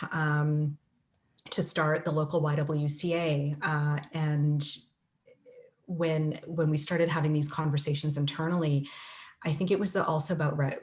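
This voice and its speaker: A woman panelist answers thoughtfully on a video call, pausing and restarting as she builds her point. Her speaking voice sits very low for a woman. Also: darkish tone. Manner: thoughtful, with frequent restarts and pauses. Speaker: panelist, nonprofit leader.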